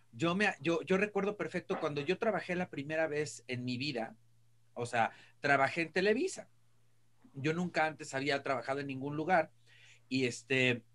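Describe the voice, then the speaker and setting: A man's voice: fairly high pitch, bright, forceful tone. A middle-aged man with a Mexican accent chatting casually and telling anecdotes as a panelist on a video call. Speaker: entertainment show panelist. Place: indoor room, video call. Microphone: video call mic.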